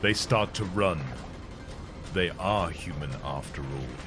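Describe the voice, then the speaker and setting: A man's voice: low voice, slow, over music. A man giving a scripted, dramatic first-person narration as a grim, commanding warrior recounting a battle. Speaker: narrator of an audio story.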